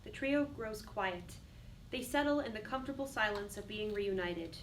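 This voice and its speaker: A woman's voice: mid-range voice, animated, normal speed. A woman reading stage directions as a scripted dramatic narrator. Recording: distant mic, faint background noise.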